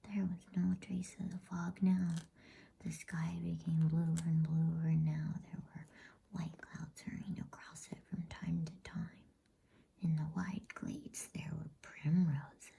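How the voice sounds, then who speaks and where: A woman reading softly in a breathy whisper, in a soothing, unhurried ASMR bedtime story style. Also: low-pitched, flat delivery. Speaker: host and storybook reader.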